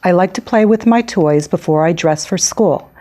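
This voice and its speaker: An excitable little girl of six tells her own picture-book tale, acted out by a woman. The voice is low and soft-edged for a child. She is happy at heart but quick to get cross. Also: close to an everyday voice. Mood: cheerful, recounting. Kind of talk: picture-book read-aloud.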